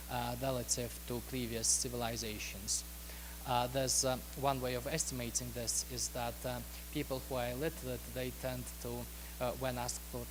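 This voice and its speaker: Man, conference talk, halting, filler-heavy, mid pitch, steady background hum. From Russia. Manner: halting, many ums, uhs and restarts. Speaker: conference speaker. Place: lecture hall at a conference.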